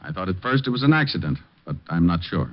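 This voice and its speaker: Wisecracking private eye on old-time radio. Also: male voice, deep voice, big pitch swings, quick pace. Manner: dry, sardonic delivery. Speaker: private detective narrator and lead. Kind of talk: 1950s radio drama acting. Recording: muffled old radio broadcast.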